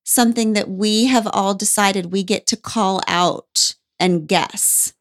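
A woman's voice: mid-range voice, slow. A woman hosting a conversation who asks probing, reflective questions. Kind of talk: conversational interview.

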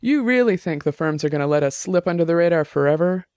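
A combative young woman musician, tipsy and loud, voiced by a man reading an audiobook. She talks with derision and a raised, pushy pitch. The sound is dry and close. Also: expressive, fast, dry recording, natural voice.

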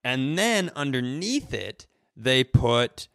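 A man's voice: medium pitch, sing-song, slow, fairly bright tone, no echo, clean studio sound. A man co-hosting a casual comedy podcast, rambling and emphatic, with some swearing. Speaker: podcast co-host.

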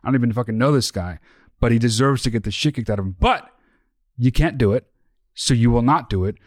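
A man's voice: medium pitch, brisk, no room sound, studio quality. A man co-hosting a podcast, giving earnest advice with lots of swearing and repetition. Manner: earnest, swears often, repeats himself. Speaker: podcast co-host.

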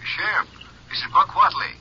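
A man's voice: moderate pace, close to an everyday voice. An actor playing an indignant, blunt rancher father with a rural Western American accent in a 1950s radio western. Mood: agitated, insistent. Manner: folksy, blunt, exasperated. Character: gruff, indignant rancher father, easily riled. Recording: muffled, noisy 1950s radio recording.

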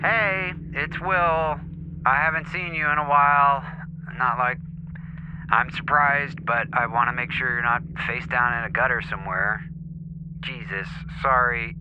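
Actor as a fumbling anxious buddy, dull phone audio. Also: male voice, medium pitch, fairly even intonation, unhurried, background noise, lightly acted. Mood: awkward concern. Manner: casual, fumbling, sweary. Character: anxious, blunt, rambling buddy leaving voicemails. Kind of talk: naturalistic audio drama acting.

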